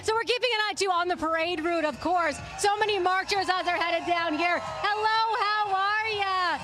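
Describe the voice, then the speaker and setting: A woman field reporter doing upbeat live street interviews at an outdoor city parade, chatting quickly with passersby on a handheld mic. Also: extremely high pitch.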